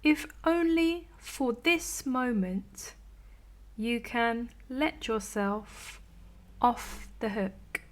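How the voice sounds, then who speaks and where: A woman leading a guided meditation in a soothing, gentle, unhurried manner with long pauses between phrases. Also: a little high. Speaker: meditation teacher.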